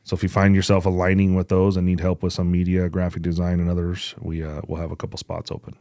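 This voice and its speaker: A man's voice: deep voice, completely flat delivery, fast, dark tone, no echo, clean studio sound. A man hosting a podcast with casual chat and announcements, blunt and joking, with plenty of swearing. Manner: blunt, profane, joking banter. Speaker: podcast host.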